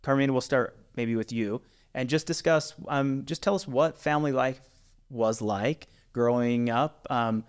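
Upbeat podcast host, studio mic. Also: male voice, mid-range voice, a little slow, smooth. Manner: upbeat, with frequent "uh" fillers and restarts. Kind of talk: casual podcast interview. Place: small studio with brick wall, indoors. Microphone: studio mic on boom arm.

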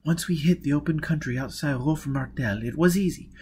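A man voicing a brusque, irritable woman on the run in an audiobook, impatient and forceful. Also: a little high, a little fast, pitched above the performer's own voice, natural voice. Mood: matter-of-fact. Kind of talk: audiobook character voice.

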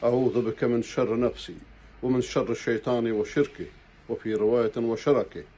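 Older male preacher, husky, mellow tone, slow measured sermon delivery, lapel mic in a small room. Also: mid-range voice, fairly even intonation. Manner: slow, measured recitation of formal Arabic prayers. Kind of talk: Friday sermon. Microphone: clip-on lapel mic.

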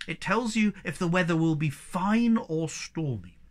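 A woman voicing a serious, decisive storybook father troll in a grave, measured way. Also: lowish voice, expressive. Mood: informative.

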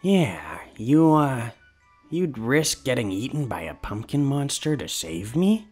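Man voicing a husky, swooping sarcastic raccoon. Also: mid-range voice, big pitch swings, slow pace, darkish tone, soft background music, heavily acted. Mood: awkward. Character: sarcastic, sneaky, twitchy male raccoon. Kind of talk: comic dub cartoon acting.

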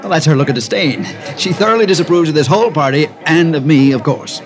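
An actor playing a breezy, mocking rich party host in a 1950s radio drama. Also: male voice, fairly high pitch, lively intonation, fast talker, close to an everyday voice. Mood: breezy, amused. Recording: old radio recording with crowd behind.

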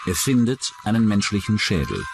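A man's voice: fairly low pitch, huge pitch swings, moderate pace, creaky, background noise. A man reading scripted true-crime documentary narration as the narrator.

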